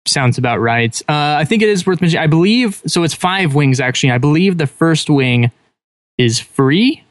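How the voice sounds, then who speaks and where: A man's voice: fairly high pitch, lively intonation, moderate pace, no echo, clean studio sound. Easygoing man on a podcast. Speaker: podcast guest. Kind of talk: casual podcast chat.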